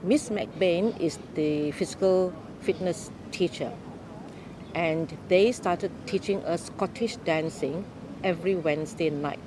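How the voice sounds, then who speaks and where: An older woman from Malaysia telling her life story in an unhurried, explaining way during an interview. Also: on the low side, noisy background. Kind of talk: oral history interview.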